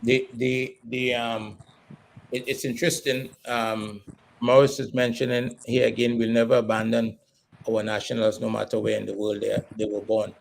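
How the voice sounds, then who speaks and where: A man from Trinidad and Tobago, hosting a sports discussion in a deliberate, earnest way with probing questions. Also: medium pitch, level tone, creaky, a little hiss or hum, poor audio. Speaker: sports show host. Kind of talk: discussion and interviewing.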